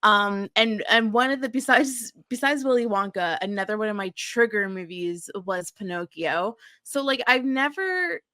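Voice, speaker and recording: A woman's voice: medium pitch, lively intonation, moderate pace, few pauses, pushed and bright, dry recording, studio quality. A woman co-hosting a casual pop-culture podcast, talking in a dramatic, exclamatory, sweary way and saying "like" a lot. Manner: filler-heavy, heavy use of "like". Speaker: podcast co-host.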